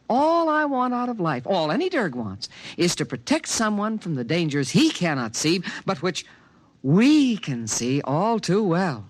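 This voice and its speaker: Fussy, high-pitched alien guardian creature. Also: male voice, dry recording. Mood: earnest, solemn. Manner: precise, formal, solemn pronouncements. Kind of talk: 1950s radio drama acting. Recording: vintage radio recording, faint music.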